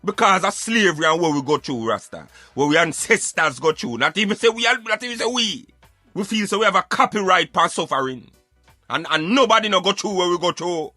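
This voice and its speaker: A man's voice: high-pitched, animated, fairly quick, sharp tone, no room sound, faint music behind. A man speaking Jamaican Patois in an emphatic, argumentative, preaching way, as in opinionated talk show commentary. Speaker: radio show host.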